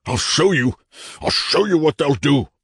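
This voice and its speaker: A man voicing a terrified, half-mad character in an audiobook, hoarse and strained, pleading and urgent as he gives his warnings. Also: medium pitch, measured pace, a little mellow, no room sound, voice pushed up. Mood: frenzied terror.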